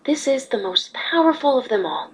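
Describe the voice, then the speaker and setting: A woman voicing a wise, motherly pony ruler in a fan fiction reading, speaking patiently and earnestly with a slightly husky voice. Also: high voice, sing-song, moderate pace, exaggerated voice, rough recording. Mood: earnest teaching.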